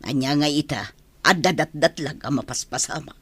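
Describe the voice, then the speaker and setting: A woman's voice: low voice, moderate pace, a bit bright, slightly rough, dry recording. A woman acting a scornful, bossy older housemaid in an Ilocano radio drama.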